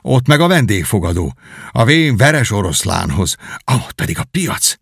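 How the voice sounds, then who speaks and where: Excited, talkative young knight voiced by a male reader; raised, lively delivery, dry close audiobook sound. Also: fairly low pitch, gravelly, slightly rough, no echo, full character voice. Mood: eager. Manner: eager, exclamatory, talkative. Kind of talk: audiobook reading.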